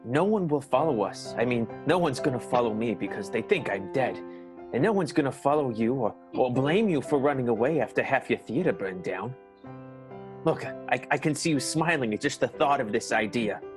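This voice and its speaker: A man's voice: mid-range voice, lively intonation, normal speed, music in the background, close to an everyday voice. A man playing an earnest lover in an improvised radio soap, coaxing someone to run away. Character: earnest male lover urging escape from show business.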